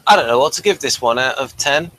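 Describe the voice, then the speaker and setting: A man's voice: mid-range voice, animated, fast, fairly bright tone, dry recording. A man co-hosting a casual review chat, speaking in a hesitant, understated way. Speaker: co-host of an episode review show.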